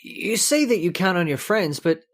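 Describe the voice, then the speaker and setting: An actor playing a weary, fretful convict forger in a radio horror drama, plaintive and uneasy. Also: male voice, sits high, sing-song, moderate pace. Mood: uneasy, needling. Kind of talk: old-time radio horror acting.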